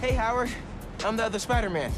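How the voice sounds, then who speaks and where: A man's voice: very high voice, animated, quick pace. An actor playing an upbeat, friendly teenage boy superhero in a video game, with casual, earnest, joking delivery. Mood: focused, friendly. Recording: loud music behind the voice.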